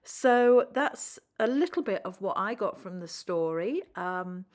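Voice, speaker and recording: A woman's voice: mid-range voice, animated, measured pace. A woman storyteller reading a children's story expressively and talking to young listeners.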